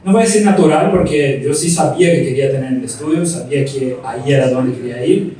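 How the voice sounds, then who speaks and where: A man from Brazil with a Brazilian accent mixed with Argentine Spanish, telling his story in a reflective, unhurried way during a casual interview. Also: mid-range voice, mellow. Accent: Brazilian Portuguese with Argentine Spanish. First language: Portuguese. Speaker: studio founder, interview guest. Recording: echoey room, distant mic, faint hum.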